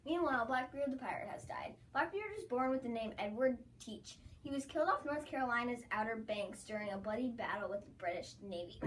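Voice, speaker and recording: A woman's voice: fairly high pitch, distant mic, a little hiss or hum, low-quality recording. A young woman anchoring a mock newscast, reading her lines plainly and stumbling now and then. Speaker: news anchor. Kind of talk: student mock-news anchoring.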